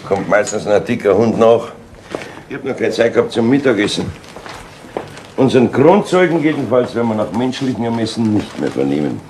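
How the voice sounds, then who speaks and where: An actor playing a dry, probing Bavarian police inspector in a 1970s radio crime play, questioning in a measured way. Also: male voice, lowish voice, very animated, a little mellow. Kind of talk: 1970s German radio crime drama acting. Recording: faintly noisy vintage radio play recording.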